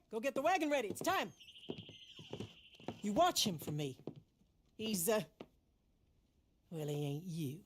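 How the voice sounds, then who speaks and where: A woman's voice: lowish voice, slight background noise, exaggerated voice. A woman playing a commanding, vengeful older criminal matriarch with a salty tongue in a dramatic game cutscene.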